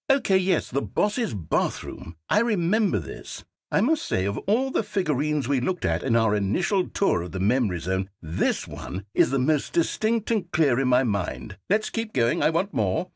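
An actor playing a game's wistful, self-absorbed male narrator in a British accent, with a slightly husky, wry and theatrical delivery. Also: mid-range voice, dry recording, studio quality, full character voice. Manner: wry, theatrical, swinging between wistful and sarcastic. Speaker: game narrator. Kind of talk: scripted video game narration.

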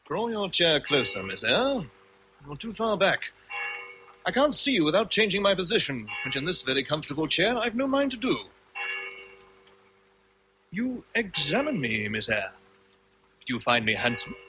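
An actor playing an imperious, teasing English gentleman with commanding, formal speech in a radio drama. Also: on the high side, sing-song, moderate pace, long pauses, noisy background. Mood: imperious, probing. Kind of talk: vintage radio drama acting.

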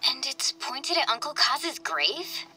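A woman's voice: high-pitched, big pitch swings, takes it slowly, bright, forceful tone, faint music behind, exaggerated voice, poor audio. An actress playing an earnest, caring young woman in a video game drama, with a hesitant, heartfelt delivery. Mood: wary, puzzled. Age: young adult.

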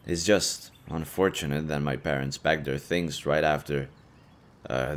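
A man playing an easygoing young half-demon adventurer, talking casually to a best friend in an audio roleplay. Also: a bass voice. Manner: casual, teasing, chatty. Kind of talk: intimate audio roleplay acting.

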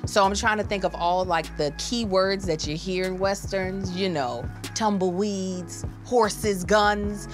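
A woman's voice: mid-range voice, fairly even intonation, slow, few pauses, music in the background. A woman giving a casual, candid commentary to camera as a contestant in a studio. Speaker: contestant, voice-over performer. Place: podcast studio.

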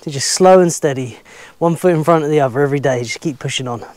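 A man's voice: fairly high pitch, quick pace, a little mellow, a little hiss or hum. A man in his thirties filming his own building work outdoors at a sawmill yard, talking plainly and thinking aloud with fillers. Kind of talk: casual self-filmed vlog.

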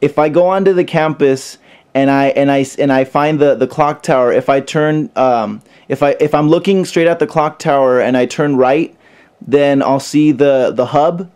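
A young man making a prank phone call, speaking haltingly and repeating his words as he feigns confusion. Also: a little high, a little mellow, clean tone. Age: young adult. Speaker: prank caller. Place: small bedroom, indoors.

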